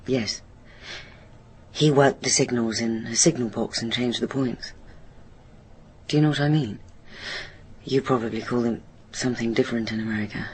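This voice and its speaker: A woman's voice: very deep voice, steady tone, normal speed, pauses often, darkish tone. British woman, troubled daughter, hesitant, self-correcting, radio play, faint background noise. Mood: uncertain. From Britain. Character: troubled, hesitant daughter of a railway signalman in therapy. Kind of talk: naturalistic radio drama dialogue.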